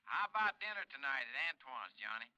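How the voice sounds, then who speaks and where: An actor playing a breezy, food-loving pal with slangy, chummy talk in a 1950s radio drama. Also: male voice, mid-range voice, sing-song, a little fast, bright, forceful tone, dry recording, poor audio. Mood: chummy, teasing. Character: breezy male buddy, eager food-loving pal on the phone.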